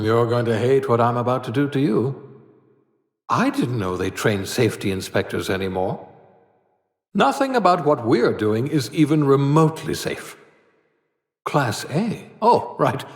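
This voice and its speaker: An actor voicing an eccentric older mad scientist in a video game, sardonic and theatrical, given to wry muttered asides. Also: male voice, medium pitch. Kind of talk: video game character voice acting. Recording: echoey hall-like reverb.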